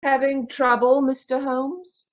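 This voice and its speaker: An actress playing a kind, tactful married woman in a radio mystery, gentle and polite in manner. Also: high voice, fairly even intonation, slow pace. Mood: kindly. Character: Mrs. Watson, kind, tactful married Englishwoman. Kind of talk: old-time radio mystery acting. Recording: dull, lo-fi video call audio.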